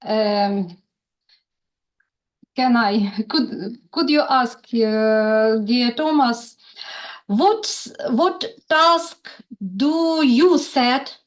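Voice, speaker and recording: A woman moderating an online meeting, asking questions in a slow, polite and formal way. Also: high-pitched.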